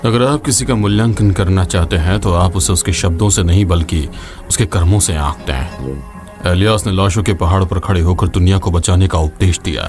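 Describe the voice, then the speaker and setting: A very low voice over clear music: a veteran demon hunter and scholar, played by a man. He is wary, solemn and measured, in dark fantasy game style. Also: close to an everyday voice. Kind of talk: dramatic fantasy game dub. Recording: music behind the voice.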